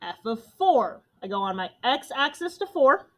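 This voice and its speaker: A young woman teacher with a loud, fairly high voice walks her class through a math lesson. She explains each step plainly and checks in with the students for questions. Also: very animated, measured pace.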